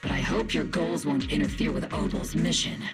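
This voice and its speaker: Game role: stern veteran squad captain, formal and wary, lively intonation over music. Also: female voice, deep voice, moderate pace. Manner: gruff, formal, guarded. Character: stern, wary veteran military captain, by-the-book old-timer. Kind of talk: video game voice acting. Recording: music behind the voice.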